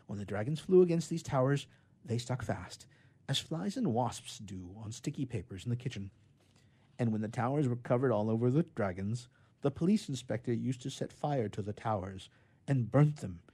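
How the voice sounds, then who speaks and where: Male narrator, husky mellow tone, very varied intonation, expressive storybook reading, emphatic delivery. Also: mid-range voice. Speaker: reader of a children's tale.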